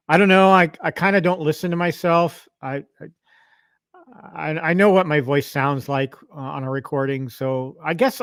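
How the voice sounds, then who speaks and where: Middle-aged man, somewhat high voice, rambling casual livestream talk, lavalier mic, dry sound. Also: no room sound. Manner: rambling, with many restarts and fillers. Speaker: host of an arcade livestream. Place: home arcade room.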